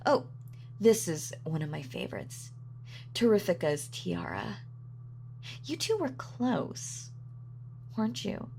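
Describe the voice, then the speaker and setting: Slow, taunting female supervillain played by a woman. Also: medium pitch, big pitch swings, vocal fry, darkish tone, slight background noise, heavily acted. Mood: gloating, teasing. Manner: slow, taunting, mock-sweet, sardonic. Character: gloating female supervillain, sadistic, darkly playful. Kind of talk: villain monologue in audio roleplay.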